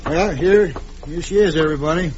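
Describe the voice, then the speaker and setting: An actor playing a kindly, hospitable American saloon keeper in a radio western. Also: male voice, on the high side, a little fast, close to an everyday voice. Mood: genial. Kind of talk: 1950s radio western acting. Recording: dull, noisy vintage radio recording.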